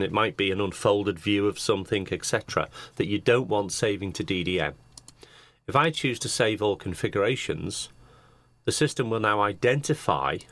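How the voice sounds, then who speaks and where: A man giving an unscripted software walkthrough, explaining each step methodically as a demonstrator. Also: lowish voice. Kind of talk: screen-share tutorial narration, unscripted walkthrough. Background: computer keyboard typing.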